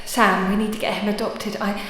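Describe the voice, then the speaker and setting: A woman's voice: mid-range voice, flat delivery, fairly quick, fairly bright tone, lightly acted. Cavernous echo, faint noise, woman acting overwhelmed young mother, desperate pleading, audio drama flashback. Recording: very echoey long reverb, faint noise.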